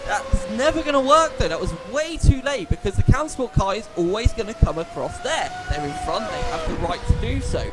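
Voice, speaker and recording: A man's voice: very high voice, brisk, hardly any pauses, loud music behind the voice. A man commentating a sim race live, with a slightly nasal, posh-sounding voice and a chatty, explaining manner. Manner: animated, chatty, explains racing lines.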